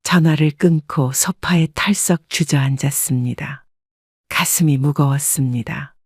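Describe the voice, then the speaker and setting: A woman narrating a first-person life story in a calm, measured, reflective way. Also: low voice, husky, no room sound, clean studio sound.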